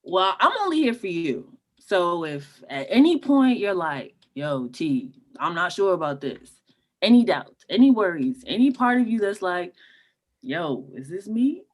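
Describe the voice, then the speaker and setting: A blunt young woman played by an actress in a casual screenplay read. She swings her pitch freely and presses her point in direct slang. She sounds like a protective friend who does not buy it. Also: very animated, measured pace. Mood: insistent concern.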